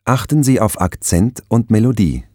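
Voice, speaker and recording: A man reading instructions as the narrator of a language course, calm and measured. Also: fairly low pitch, dry recording, studio quality. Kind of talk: instructional announcements.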